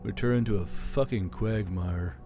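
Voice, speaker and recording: A man voicing a gruff, weary male military commander who grumbles dryly. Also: on the low side, normal speed, over music, close to an everyday voice.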